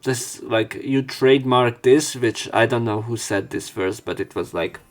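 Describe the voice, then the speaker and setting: A man's voice: mid-range voice, a little slow. A man giving casual, sarcastic let's play commentary and reading the game's dialogue aloud, with some swearing.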